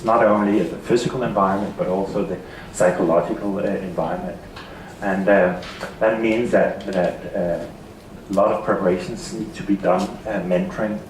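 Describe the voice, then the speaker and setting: A man with a Danish accent giving a seminar talk, halting and repeating words often. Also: lowish voice, a little hiss or hum. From Denmark. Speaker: seminar speaker. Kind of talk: conference presentation. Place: seminar hall. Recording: echoey hall from far off.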